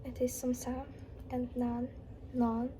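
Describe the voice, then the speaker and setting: A teenage girl from Uzbekistan, a native Uzbek speaker, describing a school culture day in a slow, simple voice-over. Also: on the high side, completely flat delivery, dark tone, a little hiss or hum. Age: teens.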